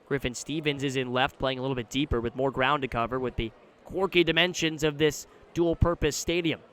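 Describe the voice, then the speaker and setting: A man doing live radio play-by-play commentary of a baseball game, describing the action in a measured way that rises when the play picks up. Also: medium pitch, steady tone, a bit bright, a little hiss or hum. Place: live at an outdoor ballpark.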